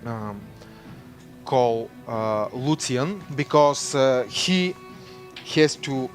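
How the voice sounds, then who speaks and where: Host, male, slow and full of "uh"s, light music underneath. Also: mid-range voice, animated. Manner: hesitant, many "uh"s, slow. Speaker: livestream host. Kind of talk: casual live-stream commentary.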